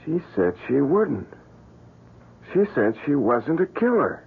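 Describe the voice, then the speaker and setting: On a narrow, tinny old radio recording, an evasive American schemer out for profit. His pitch moves freely and his voice has a slightly rough edge, in classic radio drama style. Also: big pitch swings, slow, heavily acted. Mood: sly, confident. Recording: tinny, band-limited old radio broadcast.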